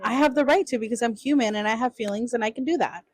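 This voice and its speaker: A woman co-hosting a casual podcast, talking animatedly and jumping up in pitch when she acts out other people. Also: fast, breathy edge, no room sound. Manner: animated, voices quoted people with big pitch jumps. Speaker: podcast co-host.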